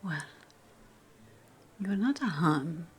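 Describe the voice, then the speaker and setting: A woman's voice: on the low side, lively intonation, drawn-out words, husky, heavily acted. A woman voicing a haughty, playful ancient Roman noblewoman in a whispered ASMR roleplay, teasing and savoring her words, often repeating phrases. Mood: playful, probing.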